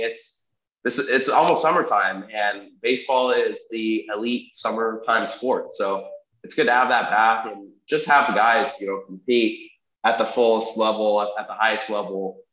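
A man's voice: on the low side, normal speed. A young man with a congested, nasal voice, co-hosting a sports podcast in a casual chatty way, with frequent ums and restarts. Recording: echoey room, dull lo-fi sound.

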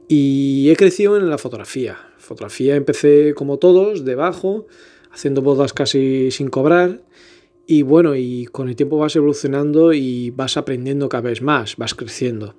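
A man from Portugal hosts his own podcast, speaking Spanish. His voice sits at a middle pitch and has a mellow, warm tone. He talks in an earnest, rambling way, often breaking off and restarting his sentences. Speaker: podcast host, photographer. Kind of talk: casual solo podcast monologue.

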